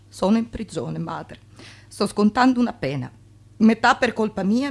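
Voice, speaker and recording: A woman in a radio play voicing a jailed immigrant's proud, sorrowful letter home, earnest and dramatic. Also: mid-range voice. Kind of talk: radio theatre monologue, letter read aloud.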